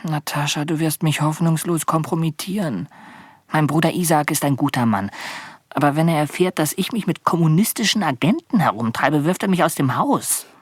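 A man's voice: on the high side, normal speed, slightly rough, no echo. An actor playing a naive, anxious young man in a German radio play, plaintive and hesitant. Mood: plaintive, doubtful.

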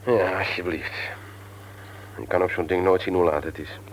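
An actor in a Dutch radio play, playing a self-pitying, self-satisfied husband and office boss with a husky voice. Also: male voice, deep voice, fairly even intonation, moderate pace, slight background noise, rough recording. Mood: plaintive.

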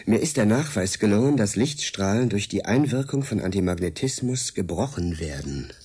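Husky-voiced idealistic male hacker. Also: fairly low pitch, animated, normal speed, mellow. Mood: intrigued, sceptical. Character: excitable idealistic male computer hacker, cynical about politics. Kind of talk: naturalistic German radio drama dialogue.

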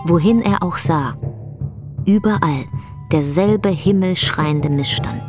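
A woman narrating a literary radio play in a measured storytelling delivery. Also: fairly low pitch. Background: faint music.

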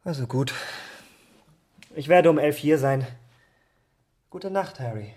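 An actor in a German radio drama playing a troubled, youthful gentleman, speaking with grave, earnest deliberation. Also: fairly high pitch, slow. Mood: reluctant.

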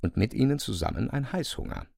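A man's voice: medium pitch, expressive, quick pace, vocal fry, darkish tone, no echo, clean studio sound. A man narrating a literary radio play from a script as its storyteller.

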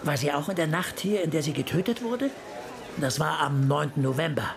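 An actor playing a gravelly, courteous homicide cop who flirts as he questions, in a German radio play. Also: fairly high pitch, expressive, brisk, a crowd in the background. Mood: flirty, probing.

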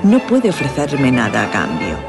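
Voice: medium pitch, wildly swooping pitch, normal speed. A performer playing a mature, elegant, teasingly evasive masked noblewoman in a radio drama, with a coy, aristocratic, theatrical delivery. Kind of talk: Spanish radio drama dialogue. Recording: radio drama with music and party crowd.

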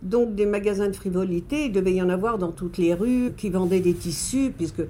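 Mellow older woman in her seventies. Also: mid-range voice, fast talker. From Paris, France. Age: 70s. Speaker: shopkeeper being interviewed. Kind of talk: spontaneous interview answers. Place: small umbrella boutique. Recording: faint shop ambience.

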